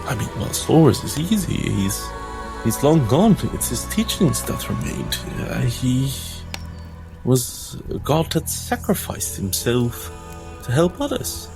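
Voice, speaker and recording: A man's voice: mid-range voice, big pitch swings, takes it slowly, creaky, over music, exaggerated voice, rough recording. A man playing an earnest, troubled priest in a tabletop game, stammering and unsure of himself. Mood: hesitant, unsure.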